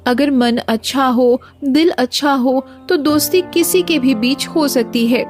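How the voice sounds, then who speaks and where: Woman as high-voiced earnest village wife Maya. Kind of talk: animated moral story dialogue.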